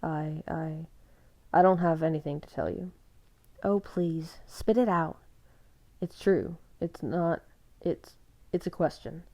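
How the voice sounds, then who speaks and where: A woman voicing a hotheaded young werewolf man who is blunt, mocking and quick to rage in a storybook reading. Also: sits low, darkish tone. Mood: hesitant. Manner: blunt, mocking, then furious.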